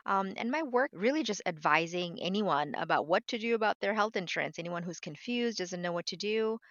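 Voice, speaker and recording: A woman's voice: fairly low pitch, expressive, fairly quick, fairly bright tone, dry recording. A young woman from Bangladesh who grew up in New York, talking candidly as an interview guest, with lots of fillers and restarts. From born in Bangladesh, raised in New York City. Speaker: guest, health insurance advisor. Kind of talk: casual interview talk. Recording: dull lo-fi audio.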